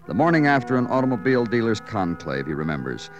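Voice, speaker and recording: Low-voiced hard-boiled American detective. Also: male voice, big pitch swings, quick pace, highs cut off, soft background music. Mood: weary, moody. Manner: clipped, staccato, hard-boiled. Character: terse, world-weary New York police detective narrator. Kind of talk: 1950s radio noir acting and narration.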